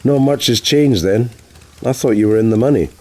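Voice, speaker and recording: A worn, husky male voice for a weary former actor in an audiobook, performed by a male narrator. He sounds a little anxious, talking familiarly as if to an old friend. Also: mid-range voice, moderate pace, a little mellow, voice pushed up, lightly acted. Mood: teasing.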